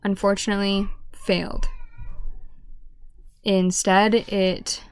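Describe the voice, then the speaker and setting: A woman with a hoarse, deep voice that often drops to a whisper, talking casually to camera in a halting way. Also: level tone, no echo. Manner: halting, with restarts and stutters. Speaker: vlogger.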